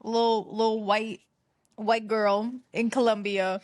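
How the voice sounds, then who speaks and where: A woman of medium pitch talks as a podcast co-host. She is informal and slangy, given to dramatic overstatement. Her voice is recorded dry, with little echo. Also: level tone, takes it slowly. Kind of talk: casual chat.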